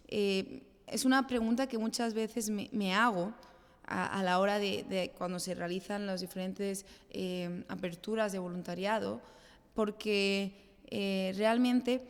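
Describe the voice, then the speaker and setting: A woman speaking as a podcast guest in a conversational interview, explaining her points and acting out little bits of self-talk. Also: mid-range voice. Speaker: guest, children's pastor. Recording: echoey hall-like reverb.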